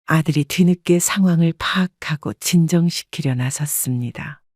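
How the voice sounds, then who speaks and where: A woman narrating a first-person family story in a calm, scripted storytelling style. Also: low voice, normal speed, dry recording, studio quality.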